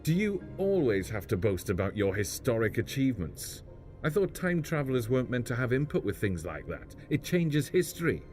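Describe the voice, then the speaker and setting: A man's voice: medium pitch, sing-song, normal speed, darkish tone, soft background music, natural voice. A man playing a guarded, blunt travelling companion in a sci-fi audio drama. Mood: exasperated. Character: guarded, plain-spoken male travelling companion.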